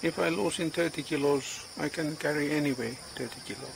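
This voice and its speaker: A man in his forties vlogging casually outdoors on a farm, speaking in halting English and searching for words, recorded on a handheld phone. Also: on the high side, gravelly, background noise. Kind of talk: casual vlog narration. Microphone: phone camera at arm's length. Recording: outdoor ambience with insects and animals.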